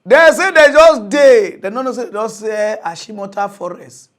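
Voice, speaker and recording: A young Nigerian man hosting a mock news comedy show, ranting in a mocking, animated way and laughing often. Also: sits high, moderate pace. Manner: animated, mocking, laughs often, switches to loud excited outbursts. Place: studio with green screen.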